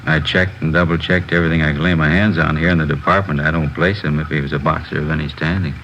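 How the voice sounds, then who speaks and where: An actor playing a methodical, plainspoken police records lieutenant in a 1950s radio drama. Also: male voice, rumbling low, fairly even intonation, quick pace, a bit bright. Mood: resigned. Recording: dull, faintly noisy vintage radio recording.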